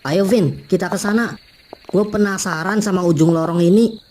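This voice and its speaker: A man's voice: sits high, normal speed, a little mellow, a little hiss or hum, lightly acted. A man voicing a curious, self-assured young male student in a cartoon, with casual slangy talk. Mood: explaining. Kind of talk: cartoon voice acting.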